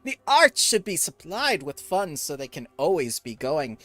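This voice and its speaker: Brief: flamboyant male stage director NPC, put on by the GM, theatrical, bright, dry close sound. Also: very animated, normal speed, no room sound. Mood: indignant. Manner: theatrical, exclaiming, sweeping pitch. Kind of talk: tabletop roleplay NPC voice.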